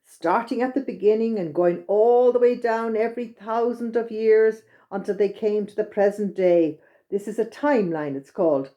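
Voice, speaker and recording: A woman's voice: medium pitch, moderate pace, dark tone. A woman with an Irish accent narrating a children's storytime expressively, exclaiming and repeating things for young listeners.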